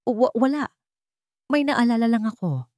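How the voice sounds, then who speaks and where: A woman voicing a young bride in a narrated love story, anxious yet quietly determined. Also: a little high, expressive, measured pace, no room sound, clean studio sound, pitched above the performer's own voice. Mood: nervous.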